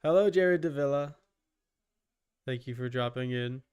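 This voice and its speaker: A man's voice: mid-range voice, a little slow, darkish tone, clean tone, lo-fi sound. A man giving casual live gaming commentary as a streamer, informal, with muttered asides and mild swearing. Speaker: video game streamer.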